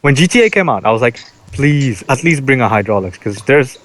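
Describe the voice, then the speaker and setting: A man co-hosting a podcast, chatting in a relaxed, rambling way about games he enjoys. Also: medium pitch, a little fast. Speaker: podcast co-host. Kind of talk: casual gaming chat.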